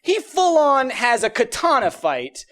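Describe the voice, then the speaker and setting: A man's voice: extremely high pitch, huge pitch swings, a little slow, fairly bright tone. A man co-hosting a podcast, chatting casually and giving emphatic, rambling opinions peppered with casual swearing. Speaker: podcast host. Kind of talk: animated casual opinion chat.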